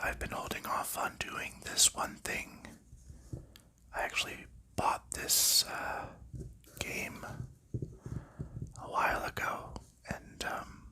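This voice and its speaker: A man whispering softly through an ASMR board game show-and-tell, unhurried and gentle, with frequent fillers. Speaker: ASMR creator.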